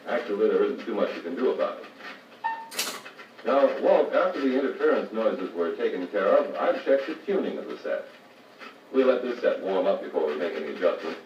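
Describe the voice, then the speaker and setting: An American actor playing a patient car radio service instructor, explaining methodically in a 1950s training filmstrip style. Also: male voice, fairly low pitch, lightly acted. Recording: muffled, noisy, echoey 1950 record playback, distant mic.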